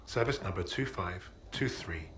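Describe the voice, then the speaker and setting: An actor playing a defiant army lieutenant who narrates calmly in a clipped way. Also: male voice, low-pitched, moderate pace, slight background noise. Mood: grim. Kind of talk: cutscene narration. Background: music.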